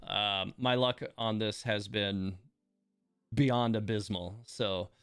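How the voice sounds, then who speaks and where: A man's voice: fairly low pitch, fairly even intonation, takes it slowly, a bit bright, clean tone, no room sound, studio quality, soft background music. Man grumbling through a casual game livestream. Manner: grumbling self-commentary with mild swearing. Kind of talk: casual gaming livestream commentary.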